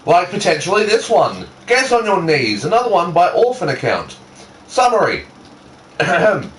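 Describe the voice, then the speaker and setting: A man's voice: high-pitched, huge pitch swings, moderate pace, a bit bright, a little hiss or hum, rough recording. A man co-hosting a casual fan fiction reading, with dry teasing, plenty of swearing and an amateur read-aloud style.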